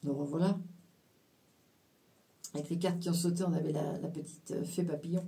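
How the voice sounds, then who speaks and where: A woman with a low, mellow voice gives a rambling tarot reading, stopping and restarting her sentences. She sounds a bit far from the microphone, with some room around her voice. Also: fairly even intonation. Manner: halting, full of fillers and restarts, mixes in English phrases. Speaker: tarot card reader. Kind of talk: casual spiritual card reading to viewers.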